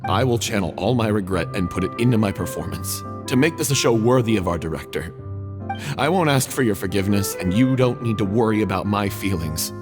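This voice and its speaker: A man's voice: on the low side, lively intonation, a little fast, over music, natural voice. A man playing an intense, devoted, remorseful stage performer in a video game, speaking formally. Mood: remorseful, determined. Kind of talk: dramatic video game voice acting.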